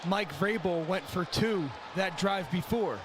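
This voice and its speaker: A man's voice: sits high, a little slow, a crowd in the background. A man giving football analysis on a broadcast, explaining tactics and often posing questions. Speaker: colour analyst. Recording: noisy stadium game broadcast.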